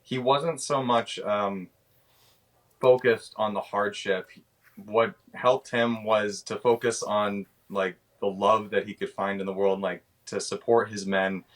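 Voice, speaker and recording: Halting young Canadian man, lowish voice. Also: fairly bright tone. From British Columbia, Canada. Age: 20s. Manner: halting, searching for words. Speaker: actor, interview guest. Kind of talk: casual, unscripted interview answers.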